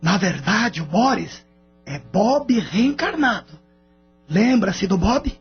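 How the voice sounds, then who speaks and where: A man acting as a kindly spirit guide with a notably high male voice. He explains things in radio drama style, over faint music in a muffled recording. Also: sing-song, slow, breathy edge, exaggerated voice. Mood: gentle explaining.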